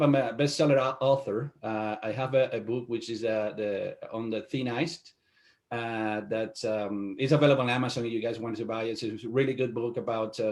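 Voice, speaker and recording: A middle-aged man from Venezuela with a Spanish accent, a guest CEO answering interview questions in a halting way full of fillers and restarts. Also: mid-range voice, darkish tone.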